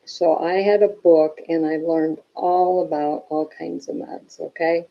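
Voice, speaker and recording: A woman giving viewers a chatty health update, her voice weak and raspy from a weak diaphragm and full of "okay?" check-ins. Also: low-pitched, fairly even intonation, unhurried, muffled recording. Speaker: vlogger.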